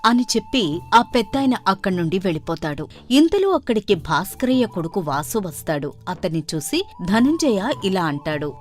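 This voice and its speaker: A woman's voice: medium pitch, big pitch swings, moderate pace, soft background music. A woman narrating a scripted moral tale as a storybook narrator.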